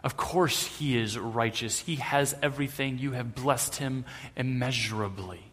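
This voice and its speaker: A preacher voicing a scornful Satan. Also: male voice, medium pitch, unhurried, a bit bright. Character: scornful male Satan arguing before God. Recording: long hall reverb.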